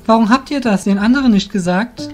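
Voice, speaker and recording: A man's voice: high voice, fairly quick, faint music behind, voice pushed up, lightly acted. A man voicing a troubled young warrior in an audio drama, earnest and plaintive, blaming himself. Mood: doubtful, questioning.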